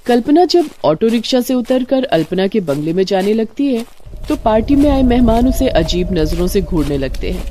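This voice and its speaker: A woman's voice: mid-range voice, warm tone, a little hiss or hum. A woman narrating a scripted moral story as a storyteller.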